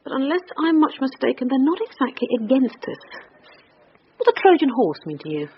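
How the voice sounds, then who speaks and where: An actress playing a brisk, dogged British police detective in charge, reasoning aloud in a naturalistic radio drama. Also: female voice, high-pitched, big pitch swings. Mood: businesslike. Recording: dull radio recording with faint noise.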